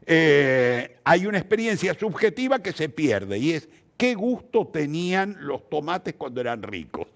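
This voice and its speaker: Casting: older male, Rioplatense accent, fairly high voice, very varied intonation, interview guest who teaches as he talks. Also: very animated, unhurried. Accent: Rioplatense Argentine Spanish. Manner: didactic, emphatic, rhetorical questions. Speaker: interview guest, theatre practitioner. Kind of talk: reflective explanatory conversation.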